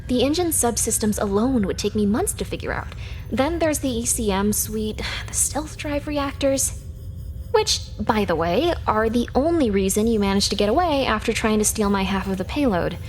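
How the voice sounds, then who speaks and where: A woman's voice: a little high, expressive, normal speed, slight background noise, natural voice. An actress playing a sardonic, teasing young female hacker in a sci-fi video game, speaking in a matter-of-fact way. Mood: teasing, dry. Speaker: video game character actor. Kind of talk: scripted video game character dialogue.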